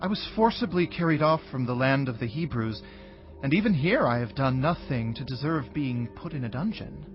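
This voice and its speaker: An actor playing an earnest young Hebrew prisoner in a dramatized scripture reading, speaking in a solemn, measured way. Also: male voice, medium pitch, expressive, lo-fi sound, faint music behind, close to an everyday voice. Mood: solemn, pleading.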